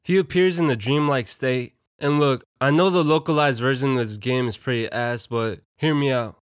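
A man's voice: mid-range voice, normal speed, no echo, clean studio sound. A young man narrating a casual, slangy video essay in an irreverent, conversational, profane way.

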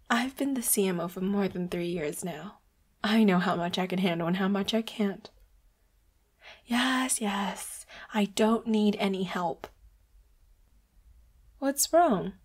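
A woman playing a sweet, secretive boss who is a hidden leopard hybrid in a roleplay audio drama, soft and breathy, often slipping into whispers. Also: medium pitch. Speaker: voice actor.

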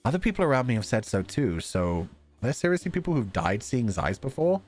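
Wildly animated man streaming a game. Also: mid-range voice, fast, soft background music. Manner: playful, theatrical, joking. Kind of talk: game livestream commentary and read-aloud of dialogue.

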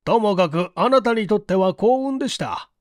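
A woman voicing a composed, courteous male detective chief in an audiobook, explaining in a deliberate, emphatic and formal way. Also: medium pitch, lively intonation, no echo, studio quality, pitched above the performer's own voice, close to an everyday voice. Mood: grave, accusing. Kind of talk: storybook dialogue in audiobook reading.

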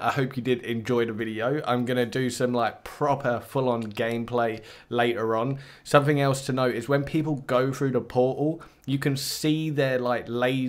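Brief: guy, mid-pitched, eager gameplay commentary, lots of ums and likes. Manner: enthusiastic, rambling with many fillers. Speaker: gaming video creator.

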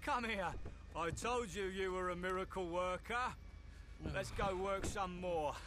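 Cocky high-voiced British chancer. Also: male voice, steady tone, measured pace, background noise. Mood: jubilant, teasing. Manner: mocking, matey. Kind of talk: video game cutscene acting.

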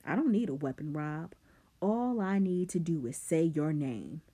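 A woman's voice: low voice, lively intonation, a little slow, dark tone. A woman voicing a clever, scheming teenage boy who talks back coldly. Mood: defiant.

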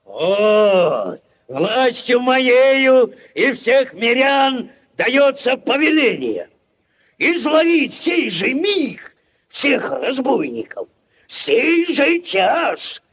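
A self-important village headman from an old radio play. His husky voice swoops widely as he blusters and stammers, dragging out his words with great weight. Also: very high voice, sing-song, very slow, fairly bright tone, full character voice. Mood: blustering, commanding. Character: pompous, blustering village headman, middle-aged or older. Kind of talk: 1940s radio play acting. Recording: old 1940s radio recording.

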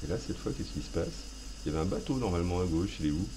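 Deep-voiced guy rambling and joking at himself over gameplay, game noise behind. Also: animated, rapid-fire. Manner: self-mocking, rambling, playful asides. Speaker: gaming video host. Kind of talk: joking let's play commentary.

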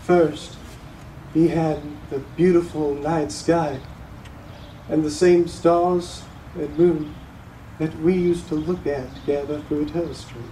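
Student guy playing a grieving dad speaking in court, solemn n halting, mellow, noisy far-off recording. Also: fairly high pitch, fairly even intonation, roomy sound. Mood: grave sorrow. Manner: slow, solemn, halting with heavy pauses. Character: grieving middle-aged father addressing a court, solemn, resolute. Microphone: distant camera microphone.

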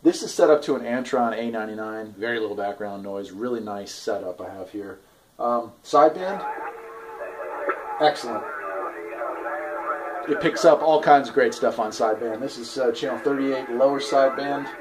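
A man's voice: medium pitch, moderate pace, background noise. Laid-back man giving a casual review. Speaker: product reviewer, farm owner. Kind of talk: casual unscripted video review. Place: garage, indoors.

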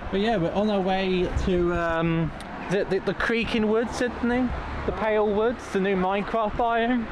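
A man's voice: high voice, fairly even intonation, a little slow. A man chatting in a rambling, casual way as he hosts a walking vlog outdoors. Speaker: vlog host. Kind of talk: casual walking vlog narration to camera and companion. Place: outdoors walking along a country roadside in rain. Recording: outdoor recording with loud traffic noise.

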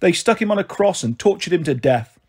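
A woman's voice: fairly low pitch, animated, fairly quick, a little mellow, slightly rough. A woman voicing a flighty, self-pitying mother of thirty-three with a British accent, gloomy and emotional in an audiobook. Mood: gloomy, tearful.

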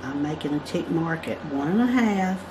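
Low-voiced woman explaining a drawing step by step. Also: expressive, moderate pace, noisy background. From United States. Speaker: craft instructor. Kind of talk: drawing tutorial. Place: indoors at a work table.

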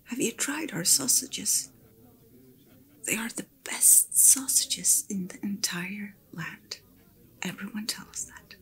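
A woman playing an attentive innkeeper in a fantasy tavern ASMR roleplay, speaking in a hushed, breathy, often whispered voice. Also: medium pitch. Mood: gently hospitable. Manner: hushed, unhurried, attentive hostess talk. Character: Edna, attentive female innkeeper serving guests in fantasy tavern.

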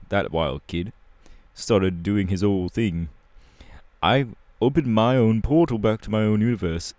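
A man voicing a laid-back but irritable young punk superhero bassist, slangy and drawling, in an audio roleplay. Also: a little mellow, no echo, close to an everyday voice. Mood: casual, dismissive. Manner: slangy, casual, drawling with hesitations.

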